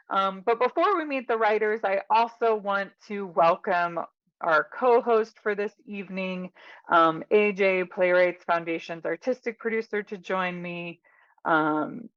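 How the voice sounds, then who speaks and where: Slightly muffled, lowish-voiced woman hosting. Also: fairly bright tone, no echo. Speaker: host. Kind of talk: event hosting, introductory announcements. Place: indoors on a video call. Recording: slightly dull video-call audio.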